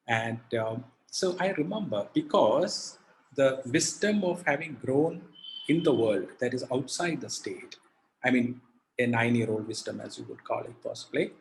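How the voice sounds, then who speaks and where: A man, a poet answering interview questions over a video call, halting with many fillers and restarts. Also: mid-range voice, lively intonation, creaky, a little hiss or hum, rough recording. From India. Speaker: poet, guest.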